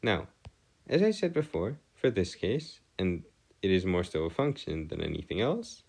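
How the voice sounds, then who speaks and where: A man giving an explanatory math lesson as a tutor, phrasing things formally and pausing deliberately between thoughts. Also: low-pitched, big pitch swings, vocal fry, darkish tone, husky, poor audio. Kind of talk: explanatory math lesson over worked diagrams.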